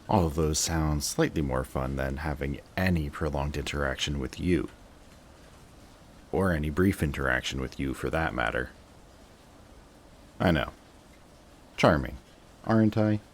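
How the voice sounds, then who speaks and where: A man's voice: very deep voice, measured pace, long pauses, slight background noise. A man playing a sarcastic, jaded supervillain in a scripted roleplay audio drama, with a dry, taunting way of talking to an old rival. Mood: sarcastic, dismissive.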